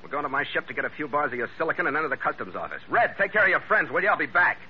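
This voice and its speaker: A man's voice: fairly high pitch, lively intonation, racing, soft background music, natural voice. An actor playing a tough, hard-boiled American sea captain who narrates his own radio adventure in clipped, wry words. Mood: brisk. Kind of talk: 1940s-50s radio adventure acting. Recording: muffled, very noisy vintage radio recording.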